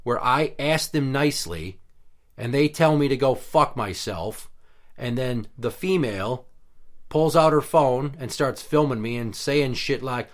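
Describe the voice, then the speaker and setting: A man hosting a casual podcast, his voice sitting at an ordinary middle pitch. He talks bluntly and heatedly and swears freely as he vents. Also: unhurried. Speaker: podcast host.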